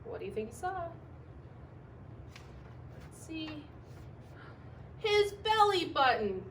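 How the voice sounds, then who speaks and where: High-pitched, very animated woman reading a storybook. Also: slow. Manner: expressive, sing-song, emphatic, asking questions of young listeners. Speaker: teacher reading a picture book aloud to young children. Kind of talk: storybook read-aloud with sung refrains. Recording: distant microphone with faint background noise.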